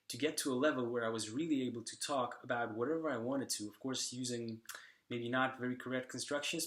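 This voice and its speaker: A young man from Slovakia, talking casually to the camera in a vlog and often pausing on fillers and restarts. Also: mid-range voice, a bit bright, reverberant. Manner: hesitant, many fillers and restarts. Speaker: language vlogger.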